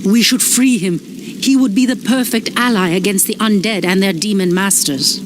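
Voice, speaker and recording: A woman's voice: medium pitch, normal speed, noisy background, close to an everyday voice. An actress playing a resolute, defiant female elf priestess. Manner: determined, defiant.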